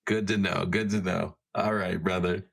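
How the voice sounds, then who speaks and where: Low-voiced man playing a tabletop game. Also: flat delivery, moderate pace, creaky. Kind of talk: casual improvised roleplay and table chat.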